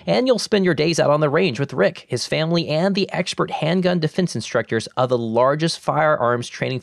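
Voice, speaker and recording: A man's voice: fairly high pitch, vocal fry, no room sound. Man reading narration in a dry studio. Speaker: host. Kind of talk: scripted narration and ad read.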